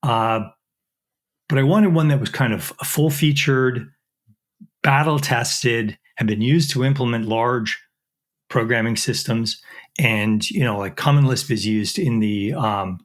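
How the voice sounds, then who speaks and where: A man's voice: mid-range voice, a little slow. A middle-aged man, a chemistry professor, explaining technical work in an interview with frequent fillers and restarts.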